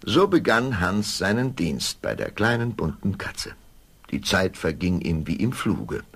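A man's voice: sits low, sing-song, unhurried, a bit bright, slightly rough, heavily acted. A man narrating a fairy tale as the storyteller of a scripted radio play. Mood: storytelling.

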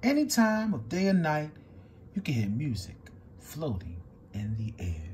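A man reading a picture book aloud with expressive, swinging delivery. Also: a little slow, slight background noise. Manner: expressive storytelling with big swings in pitch and loudness.

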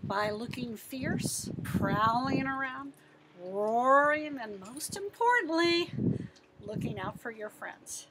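A woman in her fifties reading a picture book aloud as a teacher, expressive and putting questions to her listeners. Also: sits high, takes it slowly, background noise. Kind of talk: animated children's picture-book read-aloud.

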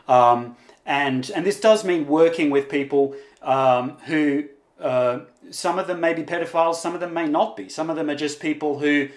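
A man in his forties hosting a conversational interview, speaking deliberately with frequent hesitations. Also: on the high side. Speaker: interviewer, host. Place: indoors, on video call. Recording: roomy, echoing video call.

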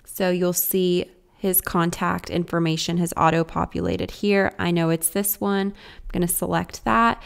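A woman walks viewers through software in an informal, explanatory style. Her voice sits a little low and has a smooth, clear tone with noticeable vocal fry. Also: fairly even intonation, a little slow. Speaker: software tutorial presenter. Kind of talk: casual scripted screen-share walkthrough.

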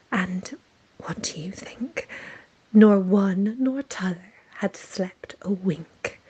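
A woman whispering a story poem in a soft, ASMR-style reading. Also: medium pitch, breathy edge, low-quality recording.

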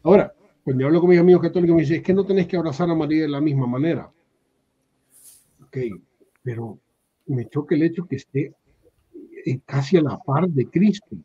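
A man's voice: on the high side, a little fast, long pauses, breathy edge. A Honduran man on a video call from a room at home, chatting at length in a panel and often restarting his sentences. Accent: Honduran Spanish. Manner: talkative, restarts mid-phrase. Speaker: guest. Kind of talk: casual panel chat. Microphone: webcam video call. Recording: dull lo-fi video call audio.

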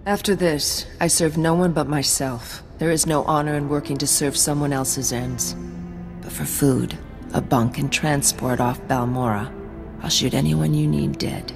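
An actress playing a grave, honor-bound alien bounty hunter in a video game, speaking formally and deliberately. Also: female voice, sits low, steady tone, vocal fry, over music. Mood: resigned, stoic. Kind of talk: dramatic video game acting.

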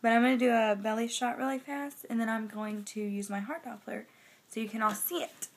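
A young woman with a slightly raspy voice from a cold, chatting casually in a personal vlog into a phone held at arm's length indoors. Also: on the high side, steady tone, brisk. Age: young adult. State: has a cold. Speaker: pregnancy vlogger giving a weekly update. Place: small bedroom indoors.

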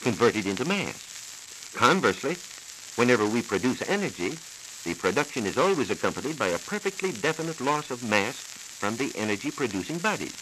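An American man working as a science reporter, giving a formal, measured, scripted explanation in 1940s radio style. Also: mid-range voice, sing-song, a bit bright. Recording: hissy, crackly 1940s transcription disc.

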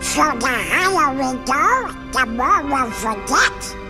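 A man's voice: very high-pitched, sing-song, slow, full character voice. A man voicing a hot-tempered male cartoon duck in a video game, with a slurred, buzzy, quacking squawk. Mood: worried. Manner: blustery, emphatic. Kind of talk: video game cutscene voice acting. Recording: long hall reverb, music behind.

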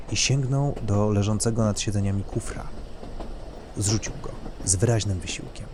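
A man's voice: fairly low pitch, noisy background. A man narrating a scripted dramatic story as its reader.

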